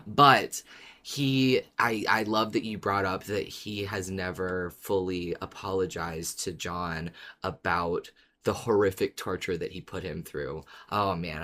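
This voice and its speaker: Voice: sits low, fairly bright tone. A podcast co-host in casual discussion, agreeable and repeating phrases for emphasis.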